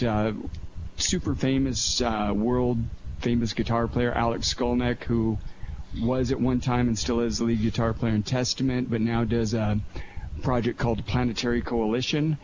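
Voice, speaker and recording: A man's voice: mid-range voice, level tone, normal speed. A man from the United States talking casually and earnestly as an appreciative interview guest. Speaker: guest actor and producer. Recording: dull lo-fi line with clear noise.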